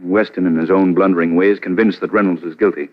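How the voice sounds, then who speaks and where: A man acts as a cool gentleman detective in a vintage radio mystery. His lowish voice is composed and sure of itself. The recording sounds old and slightly muffled. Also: steady tone, quick pace, natural voice. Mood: determined. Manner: composed, assured. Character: cool, resolute gentleman sleuth. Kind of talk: 1940s radio acting.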